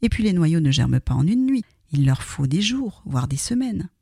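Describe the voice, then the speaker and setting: A man voicing a sad, gentle old grandfather in a bedtime story, soft and sighing. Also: fairly high pitch, big pitch swings, normal speed, gravelly, husky, no room sound, studio quality, heavily acted.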